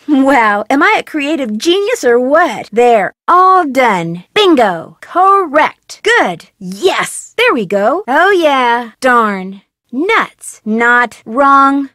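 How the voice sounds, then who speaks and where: A woman's voice: fairly high pitch, fairly bright tone, dry recording, clean studio sound. An actress voicing a curious teenage girl detective, delivering upbeat, playful quips in video game voice lines. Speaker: game protagonist.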